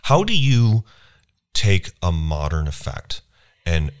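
A man hosting a podcast interview at a convention, enthusiastic and halting, with many restarts and fillers. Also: low voice, very animated. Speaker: podcast host. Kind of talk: casual interview. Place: convention venue.

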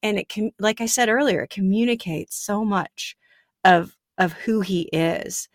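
A woman's voice: medium pitch, measured pace, no echo, studio quality. A woman speaking earnestly and emphatically in a casual faith conversation, building her points with repetition.

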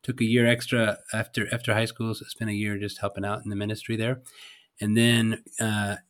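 Man, forties, low voice, grew up in Spain, hesitant, interview guest. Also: little pitch movement, a little fast, fairly bright tone. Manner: hesitant, many fillers and restarts. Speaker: missionary guest. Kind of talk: casual interview.

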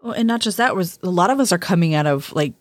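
Woman podcast co-host, lowish voice, dry close mic, chatty and laughing, casual chat. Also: lively intonation, quick pace, dry recording. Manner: chatty, laughs often, repeats words as she thinks.

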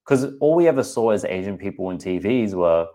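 A man in his thirties with an Australian accent and a low-pitched voice. He hosts a podcast in a casual, candid way and speaks directly to his listeners. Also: expressive, brisk, warm tone. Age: 30s. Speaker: podcast host and personal trainer.

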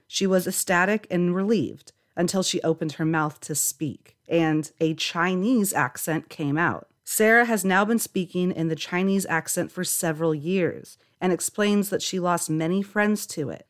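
A woman reading a scripted documentary narration in an even, informative way. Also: lowish voice.

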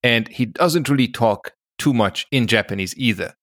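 A man's voice: medium pitch, moderate pace, a bit bright, no room sound, studio quality. A man speaking as a podcast co-host, telling stories in a casual, conversational way.

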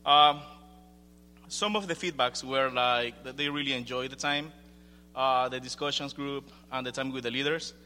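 A man's voice: medium pitch, moderate pace, pushed and bright, soft background music. A young man from Ecuador with a Spanish accent, giving an informal, hesitant and self-deprecating update to a congregation. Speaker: young adults ministry leader. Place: large room, speaking to a gathering. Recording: echoing hall with faint hum and noise.

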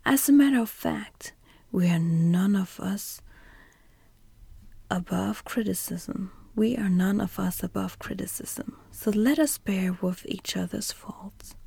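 A woman voicing a gentle, kind-hearted man made of tin, in a whispered storybook reading. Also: medium pitch, takes it slowly, gravelly, slightly rough, no room sound, heavily acted. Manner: whispered, pleading.

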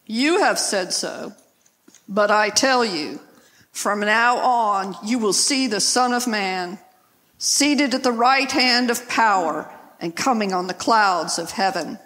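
A reader voicing a calm, solemn Jesus in a dramatized scripture reading. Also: mid-range voice, takes it slowly. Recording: long church reverb.

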